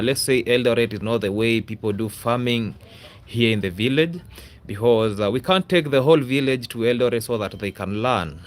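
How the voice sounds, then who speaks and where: A man with a Kenyan accent hosting a casual livestream, rambling and repeating himself, often adding a questioning "yeah?" to his sentences. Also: medium pitch. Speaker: YouTuber, livestream host. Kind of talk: casual livestream chat to viewers.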